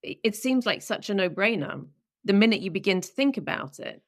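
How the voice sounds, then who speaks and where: A woman's voice: mid-range voice, animated. A woman hosting a podcast, asking long, thoughtful questions and searching for her words as she goes. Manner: thoughtful, searching, slow phrasing with restarts. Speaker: podcast host. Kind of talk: conversational interviewing.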